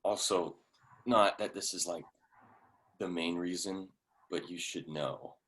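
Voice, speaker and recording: An actor plays a frank, awkward friend with money to invest in a play reading. The voice is a low man's voice, wry and conversational, stumbling toward tact. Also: slow pace, a bit bright. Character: blunt, awkwardly tactful male investor friend.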